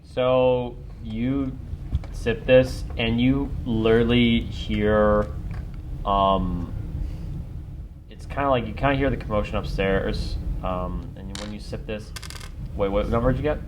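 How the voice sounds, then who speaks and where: A man's voice: lowish voice, fairly even intonation, slow pace. Man running a tabletop game over background noise. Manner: informal, conversational, searching for words as he describes. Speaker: game master. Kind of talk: casual improvised tabletop game narration. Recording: noisy home group recording.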